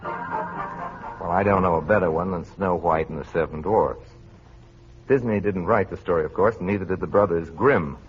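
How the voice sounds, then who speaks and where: Male radio narrator, very low voice, 1940s program introduction, dull recording. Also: normal speed, pauses often, faint music behind.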